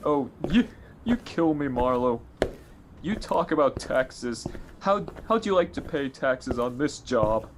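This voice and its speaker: Guy doing a slow stammery dumb crook, swingy pitch, shifty and defensive, old radio play, noisy audio. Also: expressive, lightly acted. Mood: aggrieved. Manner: stammering, halting, slangy. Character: dim, shifty, defensive male small-time ex-con crook. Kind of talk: 1940s detective radio play acting.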